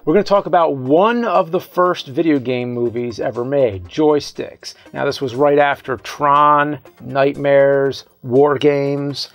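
An American man in his thirties hosting a movie review show, telling a casual story in a halting, self-interrupting way. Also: mid-range voice, highs cut off, soft background music. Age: 30s. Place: small indoor room set lined with videotapes.

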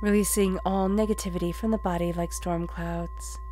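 A woman's voice: fairly low pitch, steady tone, moderate pace, dark tone, a little hiss or hum. A woman with a congested voice gives a conversational spiritual tarot reading, earnestly repeating and rephrasing for emphasis. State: congested from allergies. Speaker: tarot reader.

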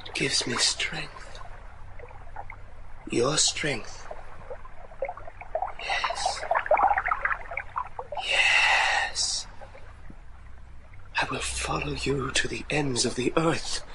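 An actor playing a tormented, obsessed young man in love in a gothic radio play, halting and pleading. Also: mid-range voice, background noise. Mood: entranced. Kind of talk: gothic radio drama acting.

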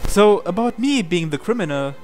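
A man reading visual novel dialogue aloud in character, with a hesitant, halting delivery. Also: high-pitched, expressive, darkish tone. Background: game music.

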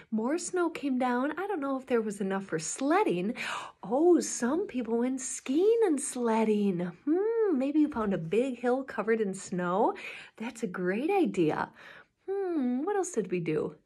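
A woman with a notably high voice leads a story time for young children. She speaks directly to her little viewers in an encouraging, expressive way, as a presenter in a small indoor room. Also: a little slow, slightly rough. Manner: animated, encouraging, exaggeratedly expressive.

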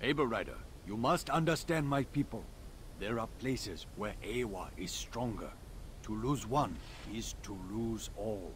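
Low, solemn alien clan leader. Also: male voice, lively intonation, slight background noise. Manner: measured, formal, grave. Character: solemn, dignified alien clan leader protective of his people. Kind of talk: video game cutscene acting.

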